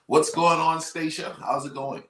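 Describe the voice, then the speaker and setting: A man from the Bahamas with a Bahamian accent, hosting a talk show in an upbeat, excitable way and repeating his phrases. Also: fairly high pitch, a little slow, vocal fry, echoey room, low-quality recording. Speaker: host. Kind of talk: casual talk show chat.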